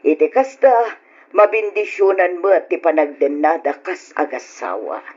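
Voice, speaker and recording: A woman's voice: low-pitched, animated, normal speed, a little mellow, breathy edge. A woman playing an amused, approving middle-aged parent in an old Ilocano radio drama, with an Ilocano accent. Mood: agreeable. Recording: dull lo-fi radio recording.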